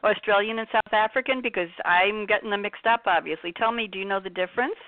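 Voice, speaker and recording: A woman's voice: mid-range voice, fast, dry recording. A bubbly woman hosts a radio talk show, chatting with a guest and laughing easily. Her voice is dry and close, squeezed through a thin, phone-like band. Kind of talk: casual radio interview. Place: radio studio in New York. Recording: phone-quality radio audio.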